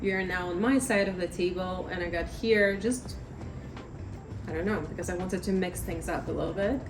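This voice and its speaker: A woman in her thirties chatting casually in a vlog at her table at home, rambling and self-deprecating, her voice slightly raspy from talking too much. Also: on the low side, fairly even intonation, moderate pace, over music. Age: 30s. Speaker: vlogger.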